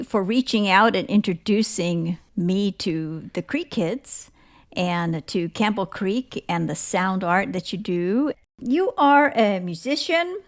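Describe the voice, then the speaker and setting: A woman hosting an interview podcast, speaking in a measured, clearly phrased way. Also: medium pitch. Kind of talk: scripted podcast introduction and interview.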